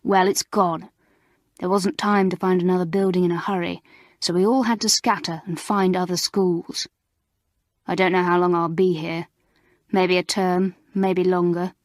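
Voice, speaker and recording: A woman voicing a sturdy, contemptuous schoolgirl who talks loudly and bluntly. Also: on the low side, normal speed, no echo, studio quality, close to an everyday voice. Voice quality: loud, flat, blunt.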